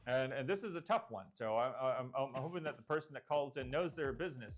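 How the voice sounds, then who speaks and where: A man hosting a live stream game show, rambling and jokey with many restarts, his voice getting hoarse. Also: medium pitch, animated, quick pace. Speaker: host.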